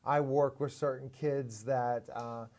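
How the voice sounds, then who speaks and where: A man's voice: mid-range voice, fairly even intonation, slow, darkish tone. A man hosting a TV interview show in a studio, rambling with restarts and earnest, lecturing asides. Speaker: talk show host. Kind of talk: casual interview talk.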